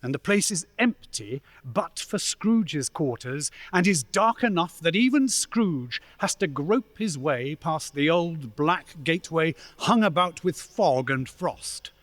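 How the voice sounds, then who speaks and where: An actor narrating a radio play as a measured, dramatic storyteller of a gloomy ghost tale. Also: male voice, on the high side, animated, fairly bright tone, no room sound.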